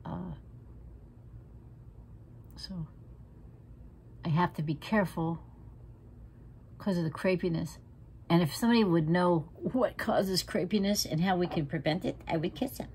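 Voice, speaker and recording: A woman's voice: lowish voice, measured pace, pauses often, darkish tone, slight background noise. Chatty, feisty woman in her sixties. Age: 60s. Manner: chatty, frank and feisty, drops to half-whispered asides. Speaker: makeup vlogger. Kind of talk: casual makeup tutorial chat. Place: living room at home, indoors. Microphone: camera close in front.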